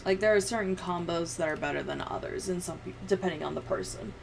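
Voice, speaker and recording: A woman's voice: lowish voice, fast, vocal fry, a little hiss or hum. A young adult woman livestreamer chatting casually and rambling, with some swearing, close to the microphone. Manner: rambling, profane. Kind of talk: casual livestream chat while editing.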